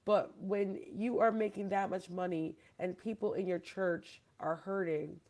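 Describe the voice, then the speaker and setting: Woman host from Hawaii, gravelly voice, rambling emphatic talker, keeps quizzing her guests. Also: mid-range voice, fairly even intonation, unhurried, a little mellow. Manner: rambling, emphatic, asks listeners questions. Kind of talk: casual debate chat.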